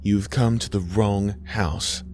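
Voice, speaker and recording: A man's voice: lowish voice, steady tone, slow, a little mellow. A man voicing a frail, stubborn dying Southern woman in a horror story, with strained, plain-spoken delivery. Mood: defiant. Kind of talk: acted storybook dialogue. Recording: faint music underneath.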